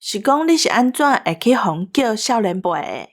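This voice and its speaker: Lively, higher-voiced Taiwanese woman co-host. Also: normal speed, a little hiss or hum. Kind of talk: scripted casual chat.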